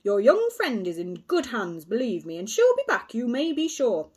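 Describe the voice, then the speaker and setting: Woman playing a pompous storybook police inspector, loud and patronizing, lively pitch. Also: moderate pace, husky, heavily acted. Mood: reassuring. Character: blustering self-assured male police inspector.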